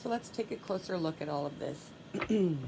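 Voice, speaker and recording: Low-voiced, stuffy-nosed woman reading tarot. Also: moderate pace, gravelly, noisy background. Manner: calm, softly repeats requests while drawing cards. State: stuffy nose from allergies. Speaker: tarot reader.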